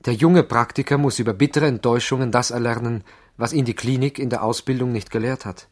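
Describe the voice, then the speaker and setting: An actor with a Swiss German accent playing an earnest, idealistic young doctor in a 1970s radio play, speaking in a measured, formal way. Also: male voice, mid-range voice, steady tone, natural voice. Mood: earnest, grave.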